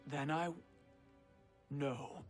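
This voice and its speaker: Music sits clearly behind a proud warrior queen from a fantasy game. The delivery is formal and imperious. She sounds stern, guarded and fiercely loyal. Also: medium pitch, fairly even intonation, extremely slow. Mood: solemn, guarded. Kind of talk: dramatic video game cutscene acting. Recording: loud music under dialogue.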